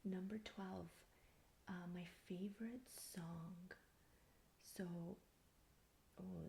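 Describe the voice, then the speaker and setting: A woman in her thirties speaks softly in a calm ASMR style, close to the mic. Her voice is lowish and mellow, breathy to the edge of a whisper, with very heavy vocal fry. She takes her time as she chats about herself. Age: thirty-four. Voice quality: soft, breathy near-whisper. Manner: calm, unhurried. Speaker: ASMR video creator. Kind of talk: soft-spoken ASMR, answering personal tag questions. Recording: faint background hiss.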